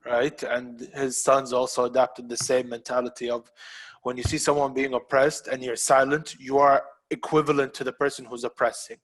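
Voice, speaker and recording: A man's voice: mid-range voice, flat delivery, normal speed, rough recording. A man hosts a casual podcast and talks with passion. He presses his points hard and repeats phrases for emphasis. His voice sits in an ordinary middle register. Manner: impassioned, emphatic, repeats himself for stress. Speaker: podcast host.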